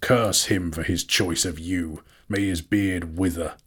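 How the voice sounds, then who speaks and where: A man voicing a proud, bitter dwarf king in a dramatic storybook reading, gruff and imperious, declaiming slowly and scornfully. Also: low-pitched. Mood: raging.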